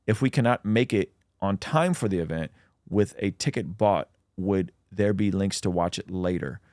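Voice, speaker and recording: A man's voice: deep voice, normal speed, darkish tone, dry recording, clean studio sound. A man, a native Russian speaker, hosting a casual livestream where he reads out chat questions and answers them with plenty of ums.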